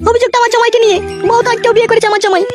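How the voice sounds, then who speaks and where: A woman's voice: extremely high pitch, fairly even intonation, rapid-fire, over music. A woman voicing a plaintive, insistent middle-aged village mother-in-law in a comic cartoon. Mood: aggrieved, determined.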